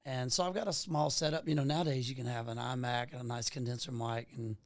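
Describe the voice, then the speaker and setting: A man's voice: medium pitch, fairly even intonation, quick pace, no room sound, clean studio sound. A man from Louisiana with a Southern US accent, chatting casually and ramblingly as a musician guest, often tossing in "man". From Louisiana, USA. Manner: folksy, rambling, peppers talk with "man". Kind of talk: casual podcast chat.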